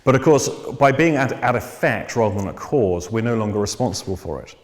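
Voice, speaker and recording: A man teaching about psychotherapy in a measured, thoughtful way, pausing often with ums and restarting his sentences. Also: fairly low pitch, lively intonation. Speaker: psychotherapy trainer. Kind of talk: unscripted lecture on therapy. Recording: long reverb.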